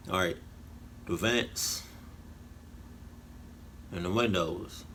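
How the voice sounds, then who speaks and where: A man giving casual, rambling reaction commentary in colloquial slang, haltingly and often repeating his phrases. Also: mid-range voice, bright, forceful tone. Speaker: reaction video host.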